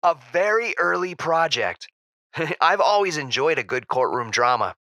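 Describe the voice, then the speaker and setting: A man's voice: a little high, lively intonation, unhurried, sharp tone, no echo, studio quality, heavily acted. A man playing a middle-aged actor, a genial, modest and slightly uneasy radio guest who speaks politely and hesitantly. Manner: polite, hesitant, nervous chuckles. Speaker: guest on a radio quiz show. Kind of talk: audio drama in radio show style.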